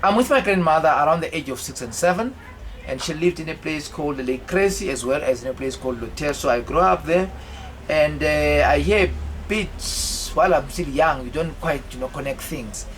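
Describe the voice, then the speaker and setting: A South African man in his fifties telling his life story in a rambling way, halting often with fillers and repeated words. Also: fairly high pitch, expressive, slight background noise. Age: 50s. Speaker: autobiographical vlogger.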